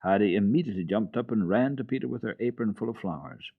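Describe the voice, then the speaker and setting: Low-voiced man reading a children's story. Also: expressive, quick pace, slightly rough, phone-quality sound. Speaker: storyteller, children's radio host. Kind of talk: dramatic reading of a children's classic.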